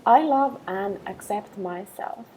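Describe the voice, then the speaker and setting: A woman leading a guided meditation with affirmations, speaking in a calm, gentle, measured way with long pauses. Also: mid-range voice, a little hiss or hum, low-quality recording.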